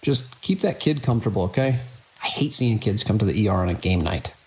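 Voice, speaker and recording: A man playing a weary, caring middle-aged hospital director in an audio drama, measured and dry with small hesitant pauses. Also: lowish voice, expressive, darkish tone. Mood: wry, gentle.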